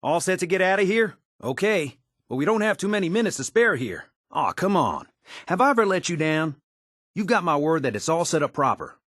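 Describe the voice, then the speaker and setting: An actor playing a folksy, wisecracking American tinkerer in a video game, talking in casual slang. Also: male voice, a little high, big pitch swings, a little fast, a bit bright, no room sound, clean studio sound. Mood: helpful, easygoing. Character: folksy, wisecracking male tinkerer and scrappy settler ally.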